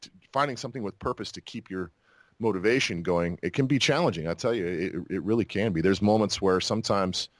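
Low-voiced American man, reflective. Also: a little fast, no echo. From Utah and New Mexico, United States. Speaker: podcast guest, actor and army veteran. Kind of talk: casual interview conversation.

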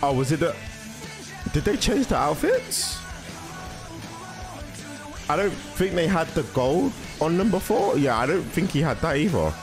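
Animated young man thinking aloud. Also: on the high side, unhurried, pauses often. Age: young adult. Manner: slangy, thinking aloud, repeating himself. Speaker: reaction video host. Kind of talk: casual reaction commentary. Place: indoor room. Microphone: large microphone close to mouth. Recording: music and show audio behind.

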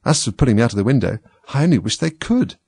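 A man's voice: mid-range voice, very animated, quick pace, gravelly, a little mellow, breathy edge, no room sound, heavily acted. A man voicing a chatty young girl who muses earnestly and plaintively to herself in a storybook. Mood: musing. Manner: musing, earnest. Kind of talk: storybook character voice.

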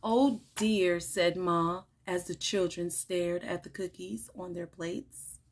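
A woman's voice: on the low side, flat delivery, slow, noisy background. A woman in her forties reading a picture book aloud expressively to young listeners. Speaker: children's storytime reader. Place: small room at home, indoors.

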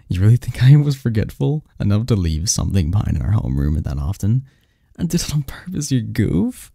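A man playing a lovestruck young research assistant in an intimate ASMR romance roleplay, murmuring haltingly as he confesses to his best friend. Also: lowish voice, creaky, dark tone, no room sound, studio quality, full character voice. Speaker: audio roleplay performer.

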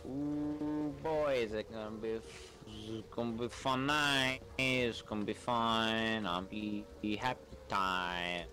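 A man's voice: on the low side, steady tone, takes it slowly, hardly any pauses. A man giving casual, sardonic gameplay commentary, muttering and swearing as he plays. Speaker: gamer playing a role-play game. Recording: clear background noise, game ambience.

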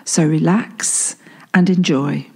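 A woman guiding a meditation in a calm, soothing way, leaving long pauses between phrases. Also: low voice, gravelly, husky. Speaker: guided meditation instructor and life coach. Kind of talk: guided meditation narration. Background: soft healing-frequency sound.

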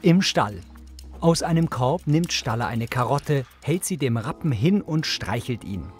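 A male narrator describes screen action in a measured, matter-of-fact way. His pitch is middling for a man. Music plays behind him. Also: animated. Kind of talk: scripted narration of TV scenes, present tense.

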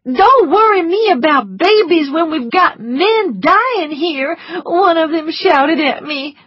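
A woman reading a story aloud, voicing a frightened young servant girl in a high, shaky voice close to tears. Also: expressive, slow pace, dry recording, muffled recording, exaggerated voice.

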